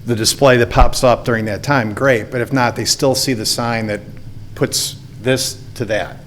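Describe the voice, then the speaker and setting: A man with a loud voice, a golf course manager giving casual, upbeat testimony at a city hearing from a podium microphone. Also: mid-range voice, fairly even intonation, moderate pace, a little hiss or hum. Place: council chamber.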